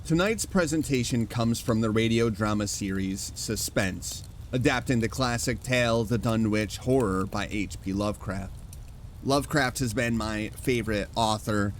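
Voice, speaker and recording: A man hosting, telling a measured, scripted spooky introduction. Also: on the low side, slight background noise. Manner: slow, measured storytelling. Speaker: host.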